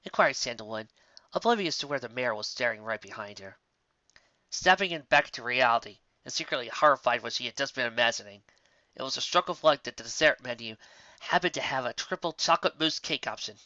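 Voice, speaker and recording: A man's voice: mid-range voice, lively intonation, a little fast, pushed and bright, no room sound. A man reading a story aloud as scripted narration. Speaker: story reader. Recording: dull, muffled, very dry.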